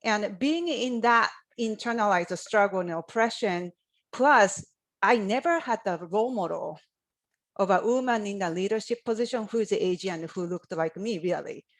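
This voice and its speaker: A woman's voice: medium pitch, moderate pace. Woman on a zoom panel, earnest and thoughtful, talks for a long while. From Japan. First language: Japanese. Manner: earnest, reflective, speaks at length. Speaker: college faculty panelist. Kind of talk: panel discussion. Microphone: video call.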